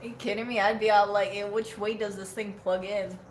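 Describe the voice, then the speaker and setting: A woman's voice: mid-range voice, little pitch movement, a little fast, echoey room, slight background noise, rough recording. A woman co-hosting a live stream, chatting casually and teasing her co-host, laughing often.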